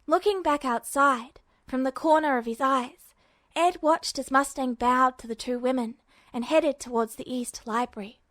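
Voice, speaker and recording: Woman, audiobook narrator, steady storytelling, higher pitch, dry sound. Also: moderate pace, no room sound. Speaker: podfic reader. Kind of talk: audiobook narration of fan fiction.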